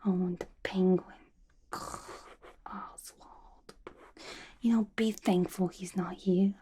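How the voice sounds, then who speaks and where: A woman's voice: on the low side, fairly even intonation, takes it slowly, warm tone, a little hiss or hum. A woman playing a playful, unhinged, gossipy female villain inmate in a chatty, conspiratorial whispered ASMR roleplay. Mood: confiding, catty.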